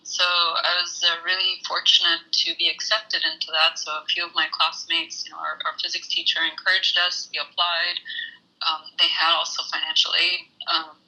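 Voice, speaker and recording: Bright-voiced woman guest, thoughtful. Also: fairly low pitch, normal speed, low-quality recording. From Saudi Arabia. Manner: thoughtful, hesitant with many ums. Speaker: guest, engineer. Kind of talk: casual interview talk.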